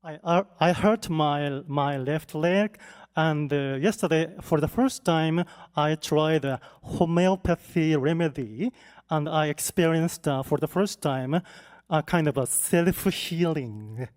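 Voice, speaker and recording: A man interpreting questions from an audience member, hesitant and halting, with many restarts and fillers, in a reverberant hall. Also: on the high side, a little mellow. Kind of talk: consecutive interpretation.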